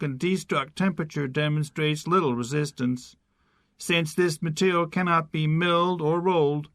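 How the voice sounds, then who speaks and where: Casting: emotionless male scientist reading out data, slightly high voice, satirical audiobook. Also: a bit bright, no echo, clean studio sound. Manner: matter-of-fact, unemotional. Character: unemotional, official male American space expert reciting data.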